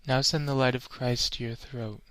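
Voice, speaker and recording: A man leading a scripted guided meditation in a measured, solemn, instructional manner. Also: mid-range voice, fairly even intonation, a bit bright, no echo, poor audio.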